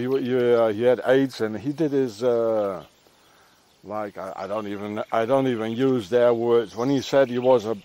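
A man's voice: medium pitch, a little mellow. A middle-aged man rambling and ranting in a meandering monologue as he walks a countryside path, often tagging on questions. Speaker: vlogger. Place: outdoors in the countryside, walking a path.

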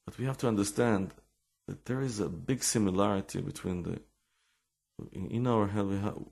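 A man with an Israeli accent giving an informal religious talk with personal stories, halting and repeating words as he searches for English. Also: fairly low pitch, gravelly, a little mellow.

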